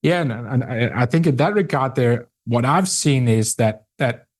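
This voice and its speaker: A middle-aged Danish man speaking English with a Danish accent, a company CEO answering interview questions in a halting way, repeating words and filling pauses. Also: mid-range voice. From Denmark. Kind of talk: interview conversation.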